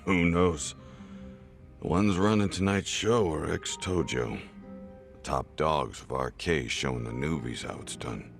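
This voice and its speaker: A man voicing a sly, smug, streetwise underworld informant in a video game, his voice gravelly and insinuating. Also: a little slow, soft background music. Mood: smug, amused. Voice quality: very deep, gravelly. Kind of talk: video game cutscene acting.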